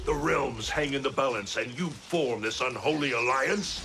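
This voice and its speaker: An actor playing an angry, distrustful god in a video game, speaking in an imperious, formal, declamatory way. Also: male voice, mid-range voice, moderate pace, very noisy, natural voice. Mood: wrathful. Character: Raiden, angry, distrustful thunder god with clouded judgment. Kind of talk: dramatic video game cutscene acting.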